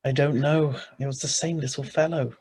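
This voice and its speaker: A man plays an ordinary husband in a radio thriller, greedy and stubborn. His middle-pitched voice is husky, with a wry, bossy, conversational delivery. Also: steady tone, normal speed, a little mellow. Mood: firm. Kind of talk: radio thriller narration and dialogue.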